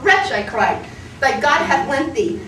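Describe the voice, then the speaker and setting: A woman performs poetry in a theatrical style, with a high voice that swoops dramatically between hushed and impassioned delivery. She is heard some distance from the mic in a roomy, echoing space, with clear background noise. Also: sing-song, measured pace, crowd noise.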